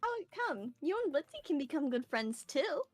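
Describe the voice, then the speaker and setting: A woman's voice: high voice, big pitch swings, moderate pace, darkish tone, no room sound, faint music behind. A woman voicing a cheerful, scatterbrained teenage girl with a bubbly, eager way of talking. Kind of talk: fan voice acting of a visual novel.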